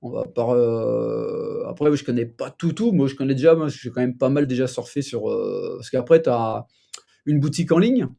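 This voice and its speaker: A man's voice: mid-range voice, lively intonation, normal speed, vocal fry, dark tone. A man hosting a casual live chat, rambling loosely with lots of fillers. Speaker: livestream host.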